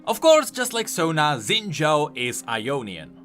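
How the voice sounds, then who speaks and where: A man narrating a scripted explainer as host, with animated, emphatic commentary. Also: fairly high pitch, measured pace. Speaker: host of a game lore video. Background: music.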